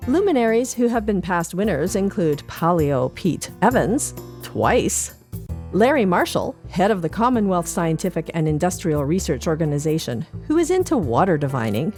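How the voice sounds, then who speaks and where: A woman's voice: on the low side, very animated, normal speed, darkish tone, soft background music. A woman with a Canadian accent reading a scripted newsletter in a light-hearted way for a podcast.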